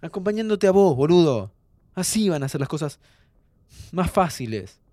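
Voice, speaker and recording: An actor playing a calm, upbeat young Argentine man who is terminally ill and reassures his friend in casual, slangy speech, in an audio drama. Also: on the high side, moderate pace. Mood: pleading, gentle. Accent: Argentine Rioplatense. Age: young adult. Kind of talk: naturalistic audio fiction acting.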